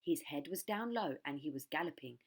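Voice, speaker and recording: A woman's voice: low-pitched, animated, brisk. A woman narrating a children's storybook like a teacher, with dramatic, careful phrasing.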